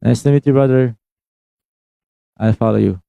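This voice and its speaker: A man's voice: medium pitch, moderate pace, creaky, dark tone, clean tone, no echo. A man in his twenties with a Filipino accent, hosting a casual live stream, switching between Tagalog and English and calling out viewers by name. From Philippines. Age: 20s.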